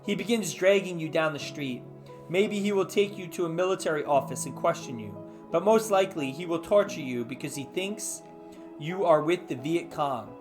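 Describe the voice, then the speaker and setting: A man with a fairly high voice reads a story aloud as host. He is informal and drops chatty asides to his listeners. Faint music plays behind him. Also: normal speed. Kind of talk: casual read-aloud of an interactive book.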